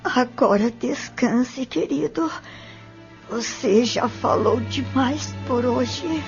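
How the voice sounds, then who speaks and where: A woman's voice: fairly high pitch, slow pace. An actress playing a tender mother soothing someone at a sickbed in a radio drama. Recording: dull lo-fi radio drama, music behind.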